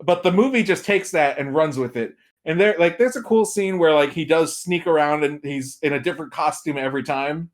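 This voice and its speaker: A man's voice: fairly high pitch, a little fast, hardly any pauses. A man chatting casually and giving emphatic opinions as a podcast guest, repeating points for effect. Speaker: podcast guest, film and game pundit.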